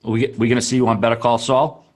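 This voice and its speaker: A man's voice: medium pitch, little pitch movement, quick pace. A man co-hosting a casual podcast, laughing easily as he asks questions. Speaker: podcast co-host.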